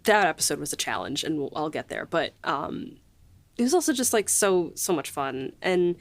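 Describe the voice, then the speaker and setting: A woman with a slightly low voice talks casually as a podcast host. She gushes in a halting, loosely phrased way, and the sound is close and dry. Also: very animated, dry recording. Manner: gushing, halting, peppered with 'like'. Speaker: podcast host and series writer. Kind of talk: casual behind-the-scenes chat.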